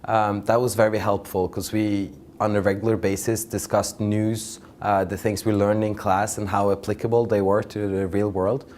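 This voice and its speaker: A man's voice: lowish voice, level tone, moderate pace, hardly any pauses, darkish tone. A young man from Norway in his twenties, a master's student earnestly giving advice in a testimonial interview.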